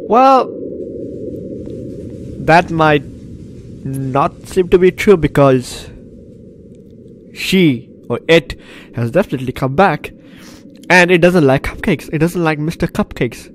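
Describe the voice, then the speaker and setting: A man's voice: on the high side, animated, takes it slowly, pauses often, a little hiss or hum. Jokey male gamer, sick with flu. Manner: jokey, repeats phrases, banters with characters. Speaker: gaming YouTuber. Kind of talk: let's play commentary, reading game text aloud.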